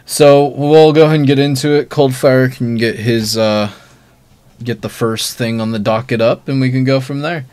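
A man co-hosting a casual panel podcast over an online call, explaining things in a halting, rambling way. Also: mid-range voice, steady tone, darkish tone, clear, resonant tone, no echo. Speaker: podcast co-host.

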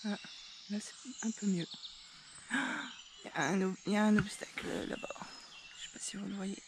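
A woman in a kayak on a river, making quick practical remarks and explaining herself in casual chat, recorded by an action camera on the boat. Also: medium pitch, little pitch movement. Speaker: kayaking companion. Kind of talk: casual chat while paddling. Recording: very loud river and wind noise.

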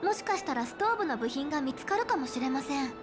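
A woman's voice: high-pitched, expressive, fast. A woman voicing a polite, earnest, studious young female officer in a Japanese anime game, speaking formally and carefully. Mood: curious, reassuring. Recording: clean studio voice over game music.

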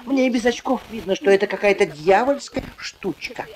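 A woman reading an expressive scripted voice-over for a children's cartoon, on an old film soundtrack. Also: medium pitch, moderate pace. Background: clear background music.

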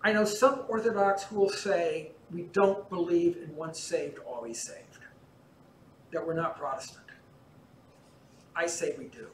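An older man with a fairly high voice teaches a parish class in an easy, conversational way, gesturing as he explains. His voice rings through a large church and is picked up by a far camera. A faint hum sits underneath. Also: a little slow, long pauses, echoey room. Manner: relaxed explaining, gesturing as he talks. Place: large church interior in front of icons.

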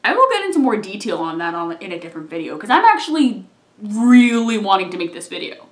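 A young adult telling a rambling personal story from a couch in a small living room, recorded by a camera a few feet away, trailing off into quiet asides. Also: mid-range voice, sing-song, fast. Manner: chatty, rambling, drops to mumbles and asides. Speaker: vlogger. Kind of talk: casual storytime vlog. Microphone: camera on a tripod a few feet away. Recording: echoey room.

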